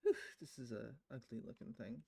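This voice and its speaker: A woman's voice: a bass voice, lively intonation, a little fast, vocal fry, warm tone, breathy edge. A woman streaming a game, talking casually to herself and her viewers as she goes. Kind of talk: casual livestream talk, reading visual novel lines aloud.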